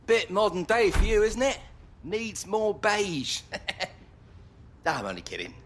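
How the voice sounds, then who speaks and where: An actor playing a cocky, teasing middle-aged sports agent in a video game. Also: male voice, high-pitched, very animated, unhurried, exaggerated voice. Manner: brash, teasing.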